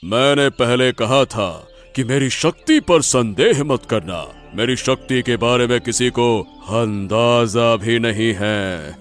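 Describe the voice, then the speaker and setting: Man playing a slow, sinister boastful holy man. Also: mid-range voice, faint music behind, close to an everyday voice. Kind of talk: animated moral story dialogue.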